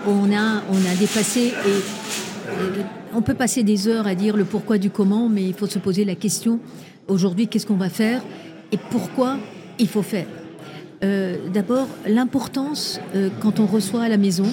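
A woman's voice: mid-range voice, normal speed, a crowd in the background. A woman originally from Iran, a guest in an interview, speaking earnestly and persuasively, laying out figures with care. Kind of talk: conversational interview.

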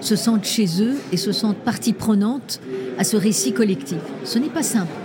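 Earnest woman making her case, crowd behind. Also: medium pitch. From Iran. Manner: earnest, persuasive, lists figures deliberately. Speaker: guest. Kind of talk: conversational interview.

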